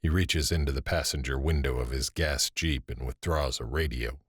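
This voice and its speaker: A man narrating a scripted audio drama with deliberate, suspenseful storytelling. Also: a bass voice, breathy edge, no echo, clean studio sound.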